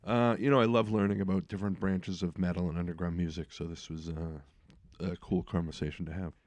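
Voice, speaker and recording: Middle-aged male podcast host, low voice, laid-back, filler-heavy delivery. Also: brisk, no room sound. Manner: relaxed, lots of uh fillers. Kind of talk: casual podcast interview.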